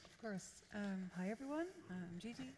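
A woman's voice: mid-range voice, expressive, moderate pace, a little mellow, slight background noise. A young woman from the Netherlands speaking as a panelist at a conference, on stage in a large hall. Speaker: panelist, venture consultant. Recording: long reverb of a large hall.

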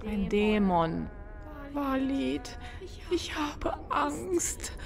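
A woman's voice: sits high, very animated, extremely slow, gravelly, exaggerated voice. An actress playing a loving, mystical female troll shaman in a fantasy audio drama, with a dramatic delivery. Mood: frightened.

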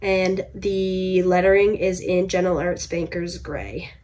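A woman's voice: on the low side, fairly even intonation, slow pace, darkish tone. A young woman with a congested, stuffy voice, chatting casually about her cross stitch projects from a home room, with lots of fillers and restarts. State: congested from a cold. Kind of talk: casual chat to camera.

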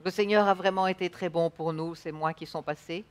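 A woman's voice: on the low side, fairly even intonation, fairly quick. A woman in her thirties interpreting a sermon live, feeling for her words at times. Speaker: church interpreter. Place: church stage, indoors.

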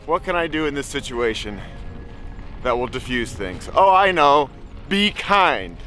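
A man's voice: mid-range voice, big pitch swings, measured pace, sharp tone, faint music behind. A man in his forties vlogging casually and cheerfully while riding a bike on a mountain dirt road outdoors, recorded on an action camera held at arm's length. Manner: chatty and upbeat, talks to animals, playful sing-song bits. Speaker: bikepacking vlogger. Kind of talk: casual vlog narration to camera.

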